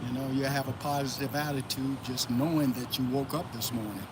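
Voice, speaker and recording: Man, livestream host, mid-pitched voice, relaxed chatty delivery, loud road noise outside. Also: a little fast. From Florida, USA. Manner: loose and conversational, answering chat viewers by name. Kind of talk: casual motivational livestream talk. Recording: loud traffic noise outdoors. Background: loud traffic noise.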